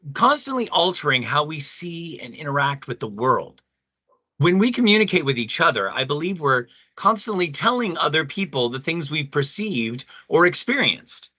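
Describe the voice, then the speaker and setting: An actor playing an earnest, philosophical pastor in an audio play, speaking in a thoughtful, measured way. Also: male voice, on the high side, sharp tone, dry recording, highs cut off, full character voice. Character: earnest male pastor, secretly a weary, loving god. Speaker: pastor in a debate.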